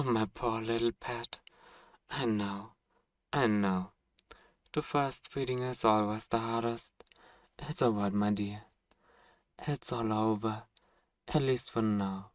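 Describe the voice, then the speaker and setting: A man's voice: fairly low pitch, dry recording. A man playing a centuries-old, possessive, dominant vampire in an ASMR roleplay, speaking in formal, condescending, menacing phrases on a close microphone. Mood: mock tender, possessive. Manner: silky, menacing, condescending, drawn-out formal phrasing with pet names. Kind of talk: ASMR roleplay audio drama. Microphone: close microphone, dry.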